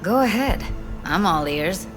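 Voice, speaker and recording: An actress playing a sincere, caring young woman in a video game, earnest and halting in her speech. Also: on the low side, lively intonation, over music. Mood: attentive. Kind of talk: naturalistic video game acting.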